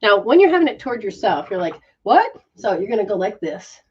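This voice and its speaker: A woman healer talks a listener through a live reading in a gentle, coaching way, looping back over her words. Her voice sits at an ordinary middle pitch. The audio is dull and muffled, like a lo-fi stream. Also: very animated, fairly quick, slightly rough. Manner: soft, coaching, repetitive phrasing. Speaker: metaphysical practitioner giving readings. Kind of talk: live guided reading and healing session.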